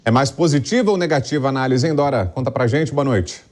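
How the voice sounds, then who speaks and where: A Brazilian man anchors the news in a medium-pitched voice. He sounds conversational, handing questions along from the studio desk. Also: fast talker, a little mellow. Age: 30s. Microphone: lapel microphone.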